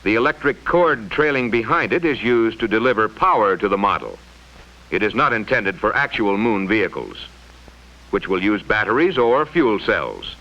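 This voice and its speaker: A man reading scripted mid-century educational film narration in a formal, measured, explanatory way, on a vintage soundtrack. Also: lowish voice, very animated, bright, forceful tone, highs cut off.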